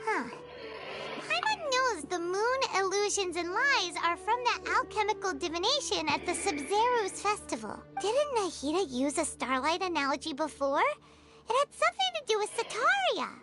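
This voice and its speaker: A little fairy sidekick for a video game, with a childlike, squeaky voice pitched extremely high. Her delivery is chatty and exclamatory, swinging widely in tune, over clearly audible music. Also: very animated, moderate pace. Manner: exclamatory, refers to self in third person. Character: tiny childlike female fairy companion, excitable, loyal, chatty.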